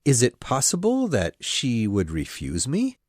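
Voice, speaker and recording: A woman putting on a low, pompous male suitor. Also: huge pitch swings, darkish tone, husky, no room sound, clean studio sound, heavily acted. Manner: pompous, smug, slow and insistent. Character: pompous self-satisfied young male clergyman suitor. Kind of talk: storybook character voice.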